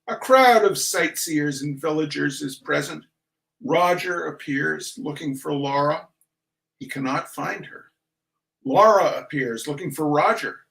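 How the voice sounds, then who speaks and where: A man reading a comic literary piece aloud in a theatrical, mock-serious manner with dramatic pauses. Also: fairly high pitch, vocal fry, fairly bright tone, low-quality recording. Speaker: reader.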